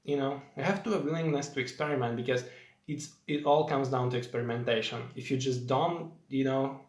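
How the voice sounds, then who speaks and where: A young man with a Slovak accent talks loosely through a live stream, using plenty of fillers and breaking off to greet viewers. His voice sits at an ordinary middle pitch. The room around him sounds roomy and echoey. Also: steady tone, brisk.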